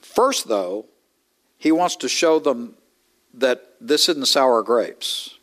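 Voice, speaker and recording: Middle-aged pastor guy preaching, chatty and wry, voice jumps up a lot. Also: takes it slowly. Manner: conversational, wry asides, animated rises in pitch. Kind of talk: expository sermon.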